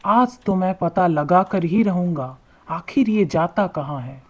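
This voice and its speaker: A woman voicing a kindly, curious rich merchant man in an animated moral tale, earnest and deliberate. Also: on the low side. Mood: determined.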